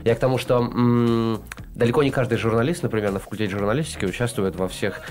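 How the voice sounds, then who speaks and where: A man's voice: medium pitch, fast talker, darkish tone. A man in his thirties hosting a studio talk show, asking guests questions in long, elaborate sentences full of fillers. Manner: wordy, elaborate phrasing with many fillers. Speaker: host. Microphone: desk microphone.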